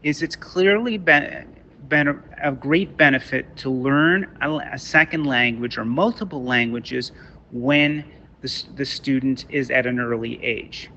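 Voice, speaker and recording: A man whose first language is French, from Canada, giving a talk to parents as a teacher, halting with many restarts. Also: mid-range voice, sharp tone, lo-fi sound, slight background noise. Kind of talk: online lecture to parents. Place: Toronto.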